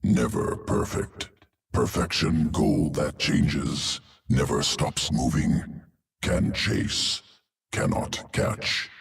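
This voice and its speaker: A man's voice: animated, extremely slow, exaggerated voice. An actor playing a detached male alien creature who engineers flesh, speaking in terse broken phrases. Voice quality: gravelly, very deep. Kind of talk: video game cutscene.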